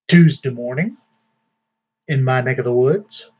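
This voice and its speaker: A man hosting his own channel, giving casual news commentary in a slow, deliberate way with fillers and long pauses. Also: mid-range voice, darkish tone, slightly rough, lo-fi sound. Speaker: vlog host.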